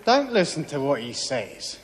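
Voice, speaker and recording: Insistent, slow-spoken critic. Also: male voice, fairly high pitch, big pitch swings. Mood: dismissive. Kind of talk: television stage-play acting. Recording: echoey hall with long reverb.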